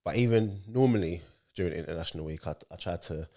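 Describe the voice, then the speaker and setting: A man's voice: low voice, quick pace, dark tone. A young man hosting a casual football podcast in a laid-back, drawling way. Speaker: podcast host.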